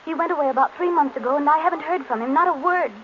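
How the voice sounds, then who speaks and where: A woman's voice: high-pitched, very fast, close to an everyday voice. An actress playing an anxious, well-bred young woman in an old radio drama. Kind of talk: 1930s radio drama acting. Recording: dull, faintly noisy old radio recording.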